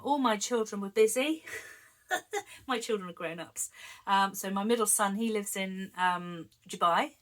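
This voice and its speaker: A woman's voice: mid-range voice, fairly bright tone. A woman in her fifties, slightly wheezy from a chest infection, chatting casually and giggling as she shows her planners. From United Kingdom. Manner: chatty, giggly, with many ums. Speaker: planner vlogger. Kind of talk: casual show-and-tell chat.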